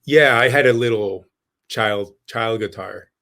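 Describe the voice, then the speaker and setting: A man's voice: on the low side, measured pace, gravelly, sharp tone. Middle-aged man from Mexico, thoughtful. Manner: thoughtful, many fillers and restarts. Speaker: guest musician. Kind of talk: podcast interview.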